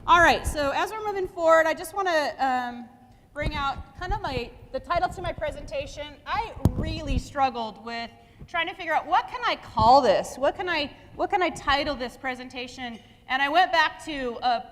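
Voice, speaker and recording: A woman's voice: fairly high pitch, a bit bright. A woman in her forties giving an upbeat motivational talk at a luncheon, often restarting her phrases. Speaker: guest speaker. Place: banquet hall. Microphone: lapel mic. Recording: echoey hall.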